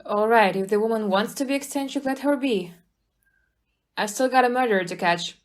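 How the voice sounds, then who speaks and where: A woman playing a brisk, no-nonsense police inspector in an audio play, speaking matter-of-factly. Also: a little high, natural voice. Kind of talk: amateur audio play acting.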